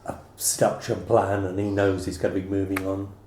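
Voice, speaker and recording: Man, interviewer, dark mellow voice, tentative phrasing, roomy echo. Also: sits low, completely flat delivery, fairly quick, slight background noise. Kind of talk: casual literary interview. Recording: roomy, echoing sound.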